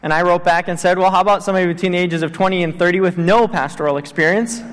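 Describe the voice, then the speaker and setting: A fairly high-pitched man in his thirties who grew up in Tanzania gives a missions talk at church. He speaks earnestly, with plenty of ums and uhs, and his voice rings with the long reverb of a church hall. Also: steady tone, rapid-fire, fairly bright tone. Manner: earnest, with many fillers. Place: church sanctuary.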